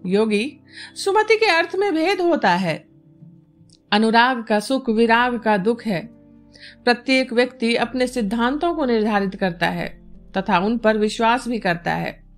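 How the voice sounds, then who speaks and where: A witty, courageous woman dancer from a literary audiobook acted out by a woman narrator speaks in poetic, philosophical phrases. The voice is rather high, with light music behind it. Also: animated, unhurried. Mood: bold, challenging. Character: bold, witty, beautiful female court dancer.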